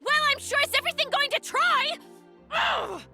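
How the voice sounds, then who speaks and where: A woman's voice: extremely high pitch, expressive, normal speed, bright, forceful tone, faint music behind, heavily acted. A woman playing a hot-headed, spirited young commander in a video game cutscene, speaking with vehement emphasis. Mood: furious.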